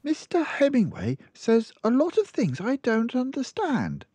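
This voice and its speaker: A man's voice: very high-pitched, wildly swooping pitch, a little slow, darkish tone, husky, no echo, voice pushed up, heavily acted. A man voicing an earnest, polite, bookish little girl from a storybook in a child-like manner. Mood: eager, earnest. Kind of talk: storybook character voice.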